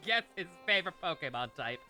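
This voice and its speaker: A woman game streamer with a bright, fairly low voice. She chats casually and wryly in a laid-back way, with faint music playing behind her. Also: lively intonation, fast talker. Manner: laid-back, wry, chatty. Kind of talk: casual livestream chat and commentary.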